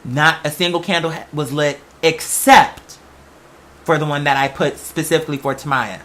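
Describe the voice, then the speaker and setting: A podcast host with a bright, forceful voice at a middling pitch, rambling casually to an audience. The delivery is slangy and emphatic, and it often wanders off on tangents. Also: normal speed.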